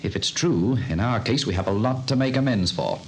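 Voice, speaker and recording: A breezy young aristocrat scoffs in a brisk. His voice is fairly low but moves lively, and faint hiss from an old radio broadcast runs under it. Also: rough recording. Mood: scoffing. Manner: dismissive, brisk upper-class tone. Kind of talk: 1930s radio acting.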